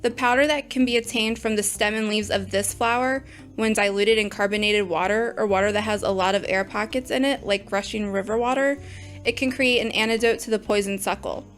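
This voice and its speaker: A woman's voice: medium pitch, fairly even intonation, a little fast, faint music behind, close to an everyday voice. A woman playing a blunt, opinionated teacher. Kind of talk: audio drama acting.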